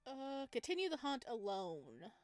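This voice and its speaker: A woman's voice: fairly high pitch, big pitch swings, unhurried, darkish tone, faint music behind. A woman hosting a gaming video, giving casual, gushing, enthusiastic let's-play commentary.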